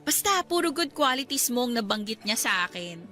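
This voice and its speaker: An actress playing a candid, cautious young schoolteacher being courted in a radio drama, chatting in a Filipino accent and mixing in English. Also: female voice, sits high, very animated, brisk, bright, forceful tone, faint music behind, exaggerated voice. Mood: pleased, teasing. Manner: conversational, mixing Tagalog and English.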